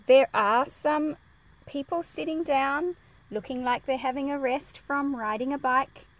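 A woman's voice: high voice, low-quality recording. A woman reading a picture book aloud and explaining it to children in a careful, teacherly way. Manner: careful, explanatory, enunciated for young listeners.

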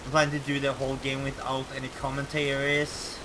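A young Norwegian man, with Norwegian as his first language, giving casual let's play commentary in English with a Norwegian accent, swearing and reacting loudly to the game. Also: mid-range voice, completely flat delivery, a little fast. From Norway. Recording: game sound behind, rain noise.

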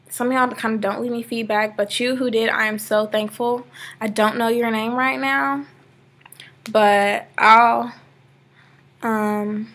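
A bright, fairly high voice from a young woman of eighteen, talking straight into a laptop webcam from her living-room sofa. She rambles in a loose, chatty way and scatters "like" all through her sentences. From Georgia, USA. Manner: chatty and rambling, many "like" fillers. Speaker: vlogger. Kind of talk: casual webcam vlog. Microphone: laptop webcam, close framing.

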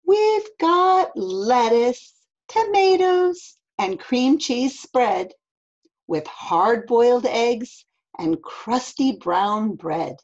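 A woman plays a reassuring picture-book mom, breezily dismissive of worries. The delivery is singsong and animated, with very drawn-out phrasing. The sound is slightly dull. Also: medium pitch, vocal fry, husky, heavily acted. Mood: cheerful. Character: brisk, reassuring mother, dismissive of her child's fears. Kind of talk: children's picture-book read-aloud.

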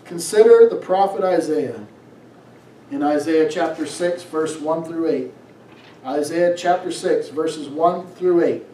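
A middle-aged man preaches in a high-pitched voice at a slow, deliberate pace. He reads scripture and exhorts with emphatic phrasing. The sound is distant from the mic, in a roomy church. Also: dark tone, slight background noise. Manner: emphatic, exhorting, drawn-out phrases with rhetorical questions. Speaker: pastor. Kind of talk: preaching a sermon, reading scripture aloud. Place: small church sanctuary, on stage. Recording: echoey room, distant from mic.

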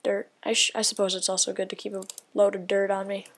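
A woman's voice: medium pitch, little pitch movement, fast talker. A woman giving casual gameplay commentary, thinking aloud in a rambling way and often dropping to a whisper. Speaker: gaming video creator.

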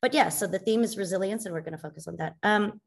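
A woman in her forties from the United States, a guest speaker telling her story in an animated, expressive way over a video call. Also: mid-range voice, quick pace, creaky. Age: 40s. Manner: animated, expressive, laughs often. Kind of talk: conversational interview. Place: plain indoor room.

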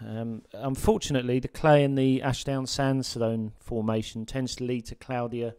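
Smooth-voiced man narrating a fishing guide. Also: medium pitch, moderate pace. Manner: relaxed, with frequent ums and uhs. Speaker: sea fishing guide presenter.

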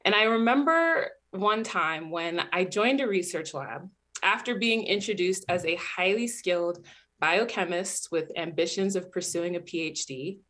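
A young American woman from New Jersey giving a measured, reflective personal talk as a PhD student, recorded over a webcam. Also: lowish voice, a bit bright. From New Jersey, USA. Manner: earnest, measured, reflective storytelling. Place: indoor room at home.

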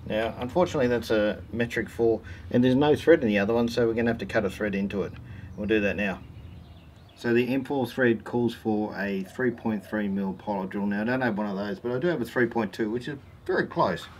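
Low-key Australian bloke, noisy outdoor recording. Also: male voice, brisk. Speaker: host of a how-to four-wheel-driving channel. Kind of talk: casual DIY tutorial explanation. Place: outdoors in a driveway and around vehicles. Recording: noticeable background ambient noise.

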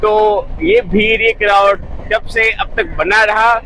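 A man's voice: high-pitched, a little slow, low-quality recording. A man in his twenties chatting informally as a travel vlogger, recorded on a phone aboard a crowded train. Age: 20s. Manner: chatty, informal, addressing viewers as friends. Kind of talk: casual travel vlog narration and chat with passengers. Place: crowded train carriages and railway platforms. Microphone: phone at arm's length. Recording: loud train and outdoor ambient noise.

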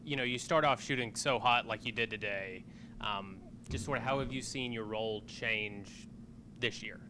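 A man's voice: medium pitch, normal speed. A man, a reporter, asking direct questions at a press conference. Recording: noisy room ambience.

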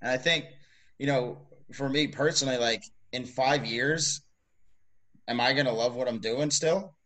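A man's voice: medium pitch, a little slow, a bit bright. A man in his mid twenties, a college strength coach co-hosting a podcast, rambling in an upbeat casual chat with many fillers. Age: 20s.

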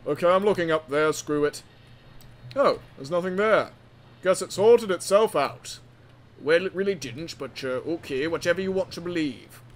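A man's voice: on the high side, moderate pace, a little hiss or hum, natural voice. A man voicing self-important, argumentative railway controllers in a dry manner, in a comic game roleplay. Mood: dismissive.

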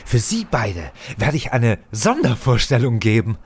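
Nervös stammelnden jungen Hörspielautor. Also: male voice, mid-range voice, wildly swooping pitch, measured pace, slightly rough, heavily acted. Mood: eager. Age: young adult. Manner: stammering, evasive, hesitant. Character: nervous young radio playwright hiding madness and guilt. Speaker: radio drama actor. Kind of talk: German audio drama acting.

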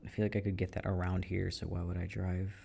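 A young man in a casual boyfriend roleplay, chatting softly while he works at his computer and often trailing off into mutters and low laughs. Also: level tone, fast, vocal fry, slight background noise. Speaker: audio roleplay performer. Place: at home at a computer.

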